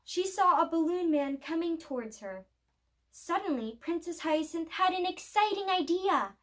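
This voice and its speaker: A woman reading a children's picture book aloud as a storyteller, with expressive, deliberate phrasing. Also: very high-pitched.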